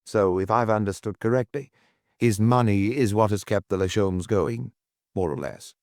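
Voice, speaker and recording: Man reading as a gruff, dogged low-voiced detective inspector. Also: moderate pace, darkish tone, no room sound, clean studio sound, close to an everyday voice. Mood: probing. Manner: probing, testy, blunt questioning. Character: male police chief inspector, gruff, dogged, skeptical questioner. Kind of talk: audiobook character dialogue.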